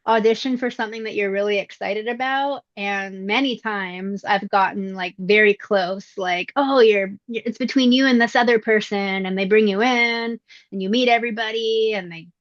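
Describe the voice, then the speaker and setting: A middle-aged woman with a young-sounding voice, talking about her acting career in a relaxed, reflective interview with frequent fillers. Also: medium pitch, moderate pace, dry recording, highs cut off. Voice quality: youthful-sounding voice. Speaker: voice actress, interview guest. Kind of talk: casual interview answers. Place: indoors at her mother's home.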